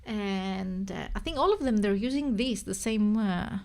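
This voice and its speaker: A woman's voice: medium pitch, animated, a little slow, creaky, a little mellow. Greek woman rambling on a live stream. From Greece. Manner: rambling, self-correcting, thinking aloud. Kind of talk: casual live-stream chat.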